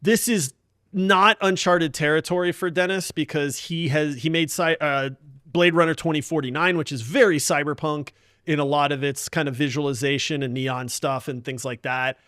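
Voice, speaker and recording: A man's voice: fairly high pitch, fairly even intonation, moderate pace, a bit bright, no echo, studio quality. A man hosting a casual gaming podcast, talking in an enthusiastic, emphatic and slangy way. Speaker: podcast host.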